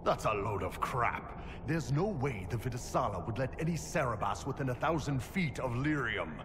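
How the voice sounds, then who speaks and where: Gruff fantasy warrior sidekick male actor, blunt and skeptical, mid-pitched, long cavern reverb, game dialogue. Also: expressive, moderate pace, close to an everyday voice. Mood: scornful. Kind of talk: dramatic video game dialogue. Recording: cavernous reverb, clear game ambience noise.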